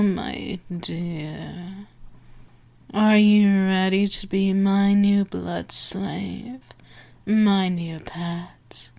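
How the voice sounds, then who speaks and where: Girl doing a dominant vampire lady for asmr roleplay, super slow and teasing, mid pitched, kinda menacing, slips into whispers.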